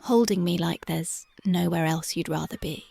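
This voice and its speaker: A tender young punk girlfriend, voiced by a woman, murmurs slow, dreamy sweet talk in a close ASMR roleplay. Her voice sits lowish and husky, with a gravelly fry that rasps through the hushed words. The sound is dry and close, with no room around it. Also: fairly even intonation, dry recording. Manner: dreamy, intimate, affectionate. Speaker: voice actress. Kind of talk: ASMR roleplay, soft-spoken and whispered. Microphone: close mic.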